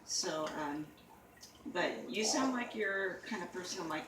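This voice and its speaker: A woman talking casually and haltingly, with many "you know" fillers. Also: lowish voice, lively intonation. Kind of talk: casual conversation. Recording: echoey room, mic far off, noisy.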